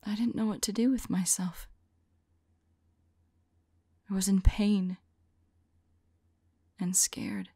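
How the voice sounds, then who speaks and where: A woman playing a remorseful, lovelorn female vampire in an ASMR roleplay, whispering softly and tenderly in a halting, intimate monologue. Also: mid-range voice, no echo, clean studio sound. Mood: haunted, sorrowful. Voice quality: soft, breathy whisper. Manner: slow, halting, tender delivery.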